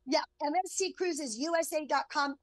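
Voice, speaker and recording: A woman's voice: sits high, moderate pace. A middle-aged woman speaking enthusiastically as a travel expert, giving an upbeat promotional segment. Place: on board a cruise ship, remote link.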